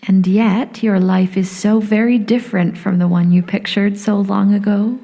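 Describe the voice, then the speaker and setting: Practical sisterly woman, mid-pitched voice, an actress. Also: fairly even intonation, moderate pace, mellow, lightly acted. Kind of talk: audio drama acting.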